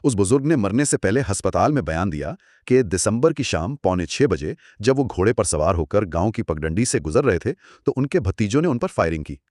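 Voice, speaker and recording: Animated, low-voiced man explaining formally. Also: a little fast, hardly any pauses, no echo, clean studio sound. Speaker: co-host explaining law. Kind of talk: scripted two-host explainer discussion.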